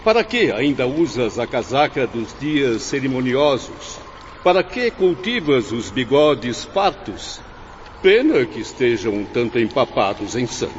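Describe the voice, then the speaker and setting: A man's voice: medium pitch, very animated, unhurried. An actor playing a pompous, pedantic male spirit in a tailcoat in a radio play. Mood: haughty. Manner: pedantic, haughty. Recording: lo-fi audio with noise.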